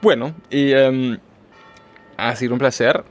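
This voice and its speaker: German guy cohosting a podcast, average pitch, lots of ems and restarts. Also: steady tone, slow pace. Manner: hesitant, thinking aloud with many fillers. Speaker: podcast co-host. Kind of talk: casual chat.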